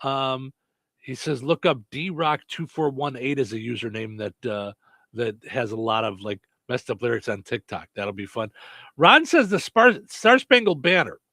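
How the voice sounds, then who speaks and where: A man's voice: mid-range voice, normal speed, no echo. A man hosting a radio show, reading listener comments aloud and chatting playfully with his co-host. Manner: lively, playful, lots of affirming murmurs. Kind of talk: casual chat.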